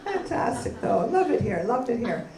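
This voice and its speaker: A woman from Canada doing a stand-up comedy set, delivering deadpan punchlines and bantering with the crowd on a club stage. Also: a little high, normal speed, very noisy. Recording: roomy live club sound from a distance with crowd laughter.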